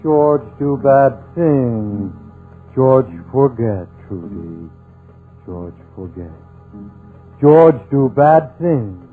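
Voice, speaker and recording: Brutish simple-minded killer, very slow, broken childlike talk, old radio drama, music behind. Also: male voice, mid-range voice, lively intonation, mellow, rough recording. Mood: brooding. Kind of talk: 1940s radio acting.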